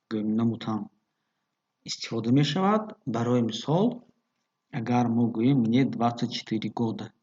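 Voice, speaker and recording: A man teaching a bilingual grammar lesson, explaining patiently with fillers and reading example phrases slowly. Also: medium pitch, a little mellow, slightly rough. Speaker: language teacher. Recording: dull lo-fi recording.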